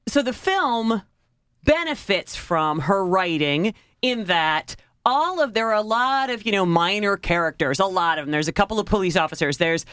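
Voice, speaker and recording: A woman speaks as a co-host on a casual movie review show. Her pitch sits in the middle, and she leans on words and repeats them for emphasis. The sound is dry, with little room around it. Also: expressive, normal speed, a bit bright, clear, resonant tone, dry recording. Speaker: film review podcast co-host. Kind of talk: casual conversational movie criticism.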